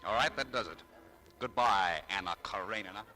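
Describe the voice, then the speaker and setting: An actor playing a proud, needling, easily stung male shop clerk in a 1940s radio drama, wry and quick to indignation. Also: fairly low pitch, lightly acted. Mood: indignant. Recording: vintage 1940s radio broadcast, music behind.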